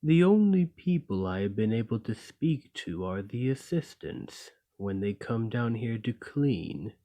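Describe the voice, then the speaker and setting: A man voicing a gentle, lonely golem in an ASMR roleplay, speaking in a formal, measured and courteous way. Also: medium pitch, animated, close to an everyday voice. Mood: lonely, confiding.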